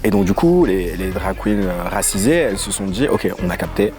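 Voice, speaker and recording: Lively low-voiced man, faint music. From Paris, of Congolese origin. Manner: lively and playful, with quick asides and English phrases. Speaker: guest, voguing dancer and house leader. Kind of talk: casual interview chat.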